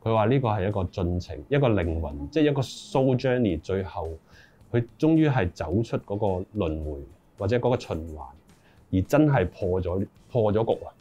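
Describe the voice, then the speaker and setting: A man's voice: fairly low pitch, lively intonation, moderate pace, mellow, husky. A middle-aged man with a Hong Kong accent chatting casually as an interview guest, in slangy speech sprinkled with English. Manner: informal, slangy, mixes in English words. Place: small room indoors, seated group.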